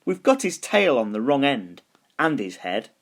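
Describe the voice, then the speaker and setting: A man's voice: medium pitch, very animated, moderate pace, voice pushed up. A man voicing an eager, mischievous little skeleton in a children's storybook, forever making keen suggestions.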